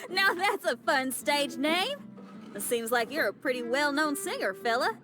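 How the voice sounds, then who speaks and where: A woman's voice: high-pitched, lively intonation, slow pace, music in the background. A woman playing a friendly, down-to-earth young rock musician in a video game, casual and teasing. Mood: amused.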